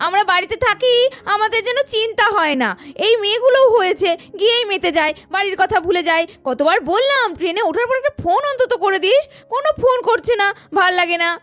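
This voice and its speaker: Fretful high-pitched young mother voiced by a woman. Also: animated, a little fast, a bit bright. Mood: worried. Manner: fretful, nagging. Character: worried, scolding young village mother.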